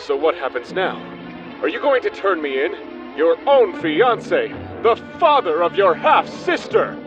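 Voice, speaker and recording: A man's voice: sits high, sing-song, unhurried. A man playing a pompous, brazen secret son of a dictator in a melodramatic comedy radio play. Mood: flustered. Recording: dull lo-fi with music.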